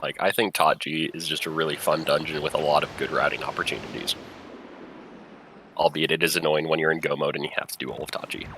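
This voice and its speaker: A man's voice: rumbling low, steady tone, fast talker, pushed and bright, a little hiss or hum. A man doing casual, playful game commentary in a soothing voice, joking about himself and laughing often.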